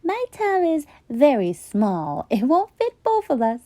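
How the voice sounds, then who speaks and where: A woman's voice: sits high, wildly swooping pitch, measured pace, dark tone, no echo, clean studio sound, full character voice. A woman voicing a neat, fussy, dainty female unicorn in a children's storybook. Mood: reluctant. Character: neat, prissy female unicorn, proud of beauty. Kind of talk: children's storybook character acting.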